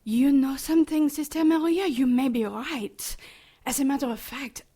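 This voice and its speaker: A woman's voice: high-pitched, fairly even intonation, moderate pace, dark tone, close to an everyday voice. A woman playing a cynical, callous nun in an audio drama, with a blunt, sarcastic and grumbling manner. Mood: sardonic.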